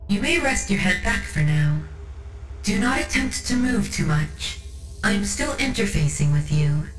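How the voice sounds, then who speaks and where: A woman performs a female tech priest from a machine cult, composed and coolly superior, in an ASMR audio roleplay. She speaks very deliberately in a mid-pitched voice, with formal, measured phrasing. The sound is roomy and echoing, with music underneath. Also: very animated, distant mic. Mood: clinical, instructing. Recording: roomy echo over background music.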